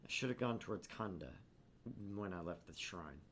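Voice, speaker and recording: A man voicing a haunted young male student who narrates his anguish in a brooding, measured way in a visual novel. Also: sits low, expressive, fairly bright tone. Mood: guarded. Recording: clear background noise.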